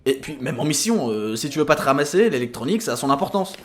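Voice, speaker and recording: Actor as a fast-talking, impatient clone technician man. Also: a little high, big pitch swings, slight background noise. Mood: instructive, annoyed. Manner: didactic, impatient, foul-mouthed. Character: irritable, know-it-all male clone technician, resigned mentor. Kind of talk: scripted comic audio drama acting.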